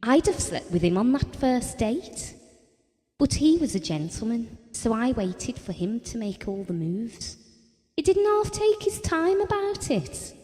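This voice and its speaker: Devoted wife, lively and high-voiced. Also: female voice, measured pace. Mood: fond, wistful. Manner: confiding, colloquial storytelling. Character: devoted working-class wife Ellen, wistful and adoring. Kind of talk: audio drama monologue. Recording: long reverberant hall sound.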